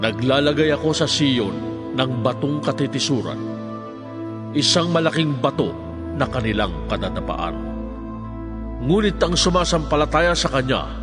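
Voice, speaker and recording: Male actor as grave prophetic voice, declamatory, solemn, mid-pitched, Bible audio drama, music underneath. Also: expressive, moderate pace, pauses often, lightly acted.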